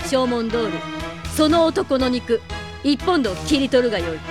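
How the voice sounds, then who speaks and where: A woman's voice: high-pitched, sing-song, normal speed. A woman playing a commanding judge in a stage play within an audio drama, stern, formal and declamatory. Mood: stern, triumphant. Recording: music clearly behind the voice.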